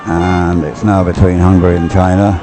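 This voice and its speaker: A man's voice: sits low, flat delivery, moderate pace, over music, a crowd in the background. A man giving live sports commentary in a hesitant, conversational, loosely phrased way. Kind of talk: live commentary on dragon boat racing.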